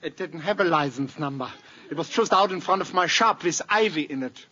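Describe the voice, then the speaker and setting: High-pitched, flustered little shopkeeper. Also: male voice, fairly quick, sharp tone. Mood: anxious, insistent. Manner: stammering, repetitive, pleading, nervous giggle. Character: flustered, meek, dithering shopkeeper in a radio sitcom. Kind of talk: 1950s American radio comedy acting. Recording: dull, very noisy old radio recording.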